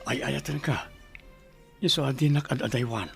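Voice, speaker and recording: A man's voice: medium pitch, fairly even intonation, moderate pace, gravelly, a bit bright, faint music behind. A man with an Ilocano Filipino accent playing a lovelorn, devoted husband in a radio drama. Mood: tender, pained. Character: lovelorn husband, devoted to his new love, resisting his ex.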